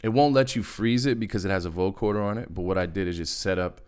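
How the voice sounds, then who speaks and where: A man giving a casual music software tutorial in a laid-back, slangy, rambling way, as host. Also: low-pitched, quick pace, clear, resonant tone. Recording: loud music over the voice. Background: hip hop beat and synth playback.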